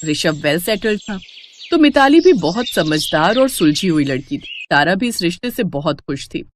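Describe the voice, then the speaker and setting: A woman narrating a moral story in a measured, even storytelling voice. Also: on the low side, a little hiss or hum.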